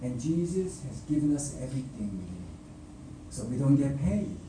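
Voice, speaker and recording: A man preaching a sermon and telling a personal mission story, speaking deliberately with pauses and filler words. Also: mid-range voice, big pitch swings, mellow, recorded from a distance, slight background noise. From raised by a North Korean father. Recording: echoey hall, speaker away from the mic.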